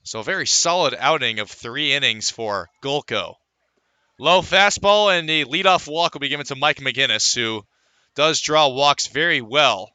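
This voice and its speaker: A man calling live baseball play-by-play on radio, filling in player stats between pitches. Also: normal speed, bright, forceful tone, smooth, no echo. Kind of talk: live sports commentary.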